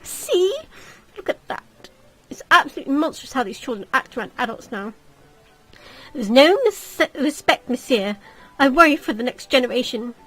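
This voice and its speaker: Woman acting a haughty game noblewoman, high voice, indignant, melodramatic, snobbish. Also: lively intonation, moderate pace, fairly bright tone, rough recording. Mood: indignant, whining. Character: indignant haughty society lady, affronted and wailing.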